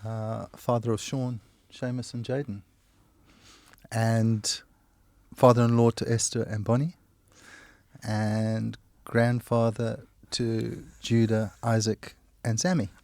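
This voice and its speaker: A man's voice: fairly low pitch, little pitch movement, slow, a little mellow, dry recording, clean studio sound. A man in his sixties from Kenya and Zimbabwe, a teacher and handyman, talking in a casual family interview with self-deprecating, chuckling humour.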